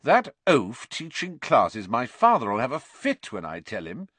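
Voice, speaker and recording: A man's voice: medium pitch, huge pitch swings, measured pace, a bit bright, dry recording, full character voice. A man voicing a cold, spoilt schoolboy bully in an audiobook, with a sarcastic, sneering drawl. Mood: scornful. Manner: sarcastic, contemptuous. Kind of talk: audiobook character voice.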